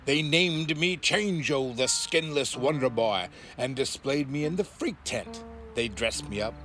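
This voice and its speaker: A man's voice: medium pitch, expressive, measured pace, sharp tone. A man playing a vengeful skinless freak-show brother in a comedic audio drama, telling grisly tales in a folksy, taunting, theatrical way. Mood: bitter, grisly. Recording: music under the voice.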